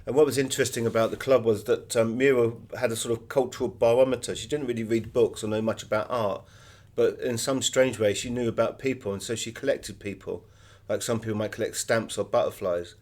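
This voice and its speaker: A man's voice: medium pitch, fairly even intonation, brisk, a little mellow. A middle-aged British man being interviewed as an author, telling anecdotes in a conversational way.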